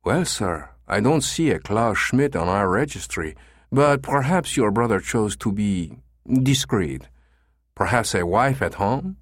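A man's voice: lowish voice, lively intonation, measured pace, no room sound, clean studio sound. A man voicing a careful, polite businessman in an audiobook story, speaking in a formal and guarded manner. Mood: courteous. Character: careful, smooth Spanish escort agency manager, a man.

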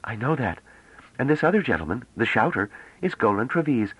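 A teasing, self-assured young woman put on by a male audiobook reader, lifted well above his own low voice. Her speech stays slightly formal and playful, with a husky edge and lively swings of pitch. The recording is close and dry. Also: fairly quick, no room sound, lo-fi sound. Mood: chatty.

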